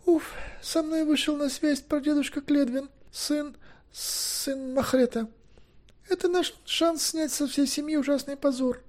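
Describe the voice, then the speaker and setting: A man voicing an excitable, earnest young woman in a fantasy audiobook, breathless, fretful and exclaiming. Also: very high voice, measured pace, pitched above the performer's own voice. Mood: anxious, muttering.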